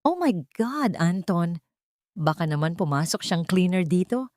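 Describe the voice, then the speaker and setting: A woman's voice: on the low side, very animated, a little slow, darkish tone, no echo. Woman narrator doing snooty mean mistress, sneery, talks down to people, dry recording. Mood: mocking, contemptuous. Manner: sneering, condescending.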